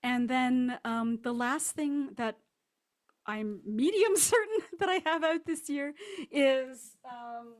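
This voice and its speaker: A woman's voice: high-pitched, slow. A woman speaking casually as a guest author in an interview, rambling and self-deprecating. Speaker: guest fiction writer.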